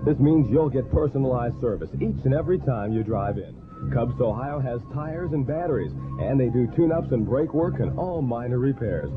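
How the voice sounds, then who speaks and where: A man's voice: mid-range voice, animated, normal speed, over music. A man reading a scripted local commercial on an old radio broadcast. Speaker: radio advertising announcer. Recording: tinny 1970s AM radio broadcast.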